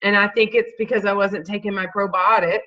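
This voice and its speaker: Woman with a head cold, bright voice, rambling casual chat, muffled recording. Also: medium pitch, fairly even intonation, brisk. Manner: rambling, informal. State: stuffed up with a cold. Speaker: panel member.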